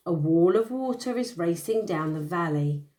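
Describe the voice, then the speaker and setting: A woman's voice: fairly low pitch, expressive, moderate pace, dark tone, natural voice. A woman voicing an anxious gazelle in a read-aloud storybook. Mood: worried. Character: worried gazelle.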